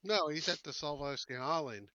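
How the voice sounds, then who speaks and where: Bright, higher-voiced man playing a tabletop game. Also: fairly quick, no echo, highs cut off. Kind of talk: casual in-character game chat.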